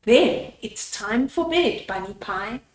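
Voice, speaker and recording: A woman voicing a kindly old grandpa rabbit in a children's storybook, coaxing in a gentle sing-song manner. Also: fairly high pitch, animated, takes it slowly, echoey room, a little hiss or hum. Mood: gently coaxing. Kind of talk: children's storybook read-aloud.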